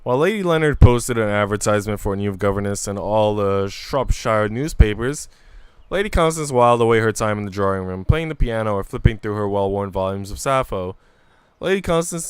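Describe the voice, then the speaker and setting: Man, smooth and clear voice, livestream reading and casual chat, music in the background. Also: on the low side, dry recording. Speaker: streamer reading a visual novel aloud.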